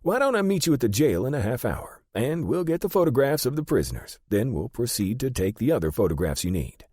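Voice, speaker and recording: A man voicing a small, brisk, polite and eager male photographer in an audiobook story. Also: medium pitch, very animated, darkish tone, slightly rough, no echo, clean studio sound, voice pushed up. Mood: brisk, obliging.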